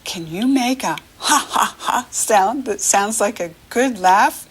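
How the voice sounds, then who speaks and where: A middle-aged American woman leading a read-along lesson for young children, encouraging and teacherly, pausing for the child to answer. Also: mid-range voice, animated, slightly rough.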